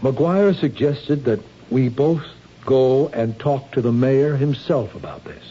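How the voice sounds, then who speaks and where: Mellow, patient, concerned husband. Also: male voice, medium pitch, slow pace, breathy edge. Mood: earnest, reassuring. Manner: earnest, gently reassuring. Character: concerned, patient husband, a city hall official. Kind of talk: 1970s radio drama acting. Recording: dull, lightly noisy old radio recording.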